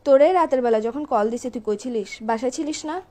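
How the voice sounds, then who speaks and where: A woman's voice: high voice, fast talker, mellow. A young woman with a Bangladeshi accent, scolding and nagging indignantly as a girlfriend in a casual, comic phone argument. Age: young adult.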